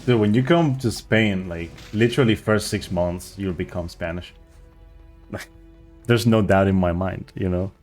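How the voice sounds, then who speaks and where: A man's voice: sits low, unhurried, a little mellow, faint music behind. A man streaming a speedrun, chatting casually and rambling as he searches for words. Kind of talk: casual chat while playing.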